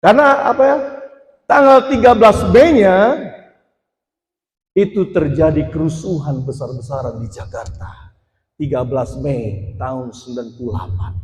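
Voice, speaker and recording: A man in his forties delivers a sermon testimony in a slightly raised register. He talks straight to the congregation and swells into loud, emphatic stretches. The church hall rings with a long, echoing reverb. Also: fairly high pitch, animated, moderate pace. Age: 40s. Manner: addresses congregation directly, rises to emphatic loud passages. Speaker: preacher giving testimony.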